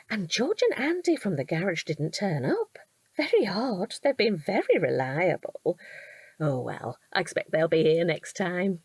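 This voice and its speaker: An actress with a British accent playing a chatty, kindly, trusting wife of a police detective in an audio drama. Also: female voice, fairly low pitch, no echo. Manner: chatty, gushing, expressive. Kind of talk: naturalistic audio drama acting.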